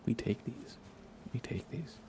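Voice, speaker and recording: A man speaking in a soft, breathy whisper, giving unhurried, gently playful ASMR commentary while he plays a video game for viewers. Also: a little hiss or hum. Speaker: livestreamer playing a video game.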